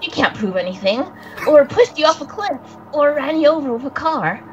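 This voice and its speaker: A woman's voice: sits high, animated, moderate pace, lo-fi sound, slight background noise. A woman voicing a nervous young boy spirit who stammers and gives himself away. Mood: flustered. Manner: stammering, flustered, self-incriminating. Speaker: guest voice actor on a game stream.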